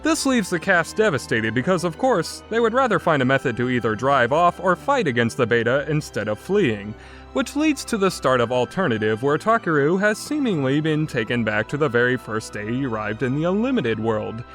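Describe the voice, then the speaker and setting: A man's voice: medium pitch, big pitch swings, normal speed, fairly bright tone, faint music behind. A man narrating a scripted review as a critic, blunt, exasperated and opinionated in manner. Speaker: game reviewer.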